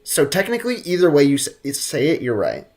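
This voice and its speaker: A man's voice: on the high side, huge pitch swings, quick pace, a little mellow. Jokey young man streaming a game. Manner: jokey, slangy running asides. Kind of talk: casual live gameplay commentary. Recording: game music behind.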